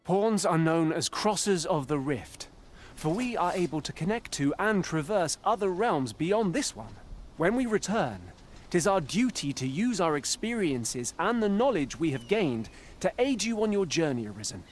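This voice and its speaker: A man's voice: fairly high pitch, very animated, moderate pace, slight background noise. A man playing a loyal, eager otherworldly servant companion in a fantasy game, formal and earnest. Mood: earnest, dutiful. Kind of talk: fantasy game voice acting with archaic speech.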